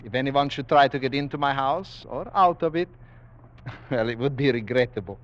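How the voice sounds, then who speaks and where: An actor playing a courtly, menacing aristocratic general who hunts men for sport, in 1940s radio drama style. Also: male voice, mid-range voice, moderate pace, close to an everyday voice. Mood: genteel menace. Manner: courtly, menacing politeness with soft chuckles. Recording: dull vintage 1940s radio recording.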